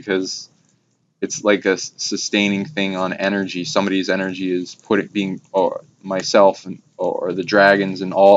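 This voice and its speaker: Low, flat-toned man rambling on. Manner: rambling, long run-on monologue. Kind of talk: casual spiritual talk. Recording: dull lo-fi recording with background noise.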